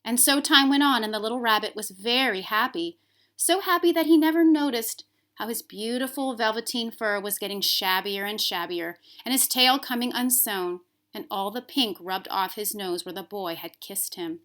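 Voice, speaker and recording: A woman's voice: a little high, normal speed. Woman teacher reading a storybook expressively. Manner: expressive, emphasises key words. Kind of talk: expressive children's storybook narration.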